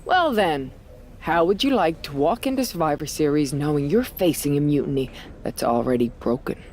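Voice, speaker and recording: A woman's voice: deep voice, lively intonation, moderate pace, a little mellow. A woman playing a brash, scheming veteran wrestler in a video game cutscene, talking in a persuasive, conversational way.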